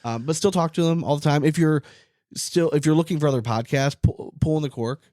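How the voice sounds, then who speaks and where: A man's voice: on the high side, fairly quick, dark tone, dry recording, clean studio sound. A man co-hosting a podcast, chatting casually and telling stories in an informal, conversational way. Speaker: podcast co-host.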